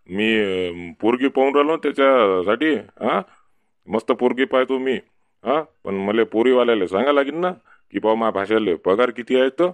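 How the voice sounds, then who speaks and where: Cartoon village drunkard uncle male actor, low voice, Varhadi Marathi accent; boastful, cajoling, rambling, dry close sound. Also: dry recording, natural voice. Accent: Varhadi Marathi (Vidarbha). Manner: rambling, cajoling, repetitive tag questions. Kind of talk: comic village cartoon dialogue.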